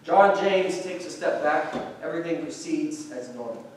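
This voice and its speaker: A man's voice: fairly high pitch, moderate pace. A man reading stage directions as scripted narration at a staged play reading. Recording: very echoey room, distant mic, faint noise.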